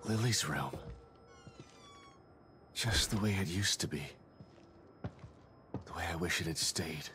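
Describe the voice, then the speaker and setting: An actor playing a weary, guilt-ridden middle-aged father in a video game drama, brooding and halting. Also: deep voice, background noise. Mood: wistful, regretful.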